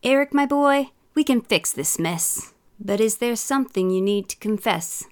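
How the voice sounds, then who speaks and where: Kindly father for a children's story, read by a woman: forgiving, gentle, reassuring. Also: a little high, expressive, normal speed, lightly acted.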